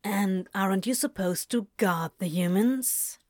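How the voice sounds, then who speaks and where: A laid-back male demon, played by a woman, talks slowly in a medium-pitched voice. He is a teasing, coaxing tempter from a story, recorded close and dry. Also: darkish tone, no echo, natural voice.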